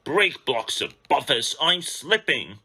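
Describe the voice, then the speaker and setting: A man's voice: mid-range voice, sing-song, slow pace, bright, forceful tone, slightly rough, voice pushed up, full character voice. A man voicing a haughty, self-important diesel engine in a children's storybook, with a lofty, disdainful manner. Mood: panicked.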